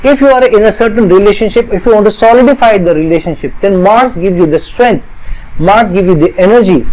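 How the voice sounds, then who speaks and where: A man's voice: high-pitched, fairly quick, a little mellow, poor audio. A middle-aged man from India, an astrologer, giving an earnest explanatory talk and stacking up long lists of parallel phrases.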